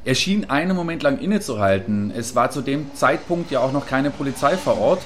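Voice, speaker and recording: A man playing an earnest field reporter in a radio play, giving wordy, factual and deliberate live reports. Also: medium pitch, animated, a bit bright, a little hiss or hum, natural voice. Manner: factual, deliberate, long-winded.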